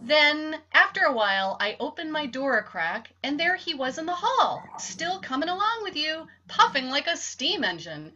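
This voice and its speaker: A woman's voice: sits high, very animated, moderate pace, few pauses, pushed and bright, heavily acted. A woman playing a mischievous young girl, an artless little sister telling tales eagerly in a radio play, on a dull recording. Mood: mischievous, gleeful. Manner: teasing, eager storytelling. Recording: dull lo-fi sound, faint noise.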